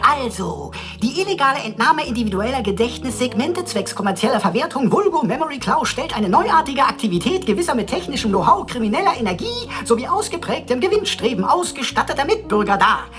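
High-pitched, quirky chatterbox robot. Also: female voice, sing-song, brisk, hardly any pauses, fairly bright tone, faint music behind. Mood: mock-formal lecturing. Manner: mock-pompous, playful, florid wordplay. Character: quirky, chatty, cheeky robot sidekick computer with flowery speech. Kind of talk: German sci-fi radio play acting.

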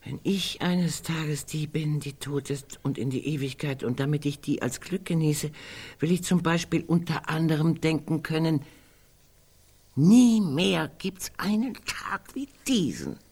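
An actress in a radio play voicing a morbid, sardonic woman who muses bluntly among friends, sometimes dropping to hushed tones. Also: low-pitched, unhurried, slightly rough, full character voice. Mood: morbid, musing.

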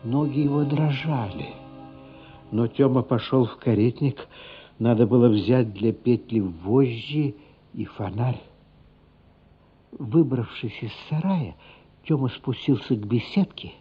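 Slow, suspenseful male narrator over music. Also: mid-range voice. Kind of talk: dramatic scripted narration of a literary radio play. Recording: dull, band-limited radio play recording.

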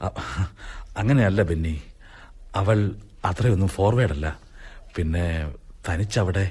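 An actor playing an anxious, defensive newlywed doctor, earnest and insistent in a radio drama. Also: male voice, deep voice, a little slow, a little mellow, slightly rough. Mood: uneasy, pleading.

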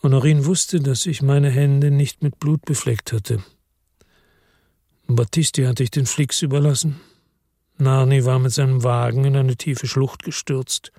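A man's voice: medium pitch, steady tone, unhurried, mellow, no room sound, studio quality. A man narrating a crime radio drama in first person, brooding and weary, his tone turning bitter.

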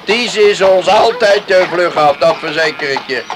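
A male actor plays a sore-losing island lifeboatman in a radio drama. His voice is high for a man, grumbling and scornful, with muttered interjections. The recording is plainly noisy, with horse and outdoor effects. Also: normal speed, natural voice. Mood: disgruntled. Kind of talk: radio play acting.

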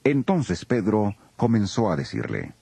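A man narrating a dramatized scripture reading as an expressive storyteller. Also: lowish voice, measured pace, vocal fry, breathy edge, no room sound.

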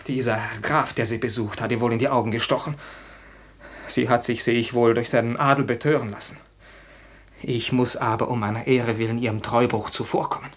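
An actor playing a lovesick, proud young gentleman in a German radio comedy from 1960, declaiming ardently in a theatrical style. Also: mid-range voice, steady tone, fairly quick, close to an everyday voice. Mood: resolute, bitter. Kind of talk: German 1960 radio play, classical stage comedy acting.

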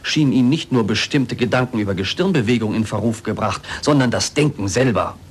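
An actor playing a scientist's former male pupil in a 1940s radio drama, formal, measured and coolly reproachful. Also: lowish voice, animated, lightly acted. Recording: noisy 1940s radio recording.

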